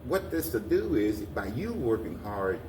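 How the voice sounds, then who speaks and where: A man's voice: medium pitch, sing-song, measured pace. A man giving earnest, rambling interview answers, often repeating his phrases. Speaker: guest, community organization president. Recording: echoey, distant mic, noisy room.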